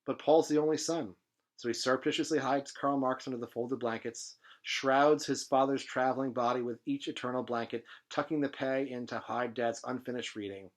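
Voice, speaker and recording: A man reading literary fiction aloud in an expressive, dramatic manner with emphatic phrasing. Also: mid-range voice, moderate pace. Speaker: presenter reading a novel aloud.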